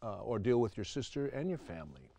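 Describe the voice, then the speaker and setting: A man's voice: mid-range voice, big pitch swings, fast, mellow, no echo. A man hosting an interview show, greeting his guest with enthusiastic praise and asking questions with frequent murmurs of agreement. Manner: enthusiastic, effusive, backchannels often.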